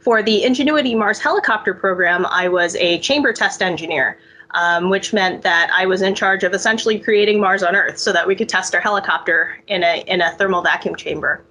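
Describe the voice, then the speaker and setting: Bright-voiced woman engineer, relaxed interview. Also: medium pitch, a little fast. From United States. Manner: relaxed, with frequent ums.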